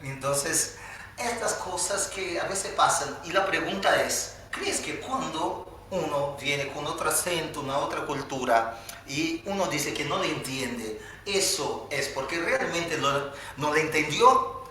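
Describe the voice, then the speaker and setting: A man's voice: a little high, expressive, measured pace, sharp tone, a little hiss or hum. A man from Spain hosting a live interview, asking long, rambling questions. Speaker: host. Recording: echoey room, far from mic.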